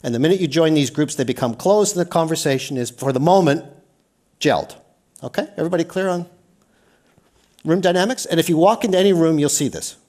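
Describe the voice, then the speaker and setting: A Canadian man giving an energetic, interactive seminar talk, often putting questions to the audience. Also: mid-range voice. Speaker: workshop speaker. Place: lecture hall.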